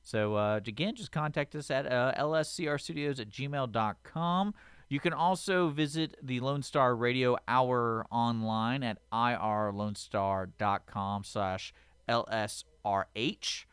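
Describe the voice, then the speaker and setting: Clear, dry-sounding male station announcer. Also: mid-range voice, a little slow, fairly bright tone, no room sound.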